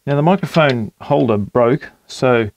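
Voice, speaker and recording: Middle-aged male creator, home studio desk, easygoing and rambling with lots of ums, everyday mid-pitched voice. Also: measured pace, slight background noise, rough recording. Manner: relaxed and rambling, with fillers and self-corrections. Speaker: home music producer and channel host. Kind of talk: casual walkthrough of a home recording. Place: small home studio room at a computer desk.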